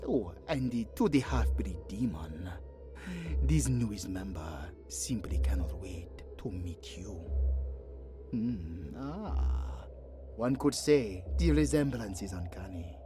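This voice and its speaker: A man playing a sly, mocking dark elf messenger, with a silky, theatrical, mock-courteous drawl in a fantasy audio drama. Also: fairly low pitch, animated, slow, over music. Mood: smug menace. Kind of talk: fantasy audio roleplay acting.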